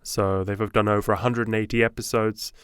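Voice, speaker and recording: A man's voice: on the low side, little pitch movement, fairly quick, a bit bright, clear, resonant tone, dry recording. A man hosting an interview podcast, introducing his guests and asking friendly questions. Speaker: podcast host.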